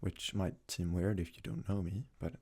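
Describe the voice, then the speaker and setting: A young man from Sweden, a native Swedish speaker, talks casually in a soft-spoken, hesitant ASMR style as he answers questions. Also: sits low, little pitch movement, normal speed, husky. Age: young adult. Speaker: ASMR creator.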